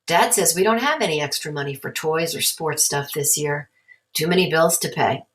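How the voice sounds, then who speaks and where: A woman's voice: low-pitched, normal speed, fairly bright tone. An eager young storybook boy, read by a woman. He talks plainly and earnestly, like a kid determined to get his way. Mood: downcast.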